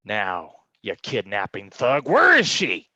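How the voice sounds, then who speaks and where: An actor playing a hard-boiled, blustering and rather dim private detective, talking tough slang in a comic noir staged reading. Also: male voice, on the low side, huge pitch swings, slow pace, sharp tone, no room sound, heavily acted. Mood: menacing.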